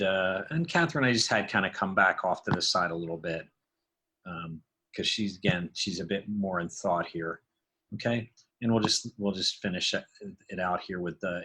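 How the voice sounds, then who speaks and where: A man's voice: sits low, bright, forceful tone, slightly rough. A man giving a casual, explanatory tutorial, thinking aloud as a sound design instructor. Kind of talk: casual screen tutorial narration.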